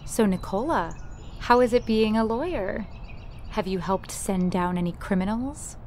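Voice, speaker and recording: A woman's voice: mid-range voice, a little slow, a little mellow, a little hiss or hum, natural voice. A woman playing a friendly, eager young teacher in an amateur audio drama.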